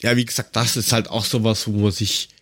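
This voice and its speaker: A man's voice: lowish voice, level tone, fairly quick, a bit bright, no echo, clean studio sound. A man co-hosting a casual podcast, talking in a colloquial, rambling, slightly grumbling way. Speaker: podcast co-host.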